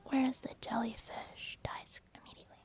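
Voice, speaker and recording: A woman doing soft, whispered ASMR commentary over gameplay, chatting informally to her viewers. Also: moderate pace. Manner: informal, conversational, addressing viewers. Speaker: ASMR gaming creator.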